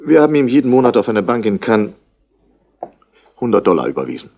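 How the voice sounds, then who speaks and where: A man's voice: lowish voice, sing-song, a little fast, darkish tone. An actor playing a brusque, self-important Australian businessman in a 1960s German radio crime drama. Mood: indignant, disapproving. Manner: clipped, self-important, indignant. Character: brusque, busy rich Australian businessman, wool merchant's son.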